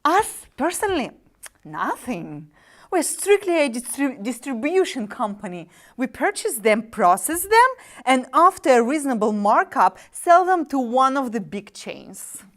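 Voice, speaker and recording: Woman playing a slick, high-voiced demon dealmaker. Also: sing-song, unhurried. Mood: breezy, condescending. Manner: breezy show-business sales patter, mocking and theatrical. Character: smooth-talking, wisecracking demon sub-agent dealing in damned souls. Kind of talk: dramatic script reading.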